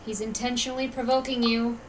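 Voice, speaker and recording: A woman voicing a calm, wary traveler giving advice in a video game. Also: high-pitched, a little slow, heavy background noise.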